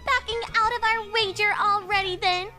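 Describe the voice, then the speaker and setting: A woman's voice: extremely high pitch, steady tone, moderate pace, over loud music. A woman voicing a spoiled rich little bully filly in a cartoon-style audio drama, smug, snide and taunting. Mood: snide, challenging.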